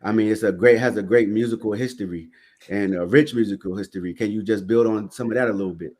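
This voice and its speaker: A man hosting a podcast in a laid-back way, asking questions and warmly affirming his guest. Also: fairly low pitch, quick pace, breathy edge. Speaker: podcast host. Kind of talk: casual interview hosting.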